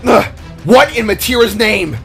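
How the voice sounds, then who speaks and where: A man's voice: high voice, moderate pace, fairly bright tone, faint music behind, voice pushed up. Man voicing urgent battle-hardened father hero. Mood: exasperated. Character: tough battle-ready father hero in a battle mask.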